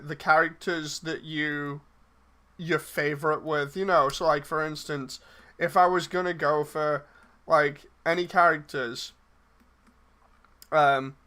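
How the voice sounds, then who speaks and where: Bright-voiced man, rambling casual stream chat. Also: fairly high pitch. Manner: rambling, hesitant, trailing off mid-thought. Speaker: streamer and project lead casting voice roles. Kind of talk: casual livestream chat, replying to messages.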